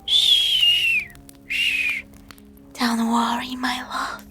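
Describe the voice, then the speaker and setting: A woman voicing a heartbroken, deranged young woman in a spooky story, cooing with obsessive, menacing love and breathy giggles. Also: extremely high pitch, wildly swooping pitch, extremely slow, loud music behind the voice, voice pushed up, heavily acted. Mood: crazed tenderness.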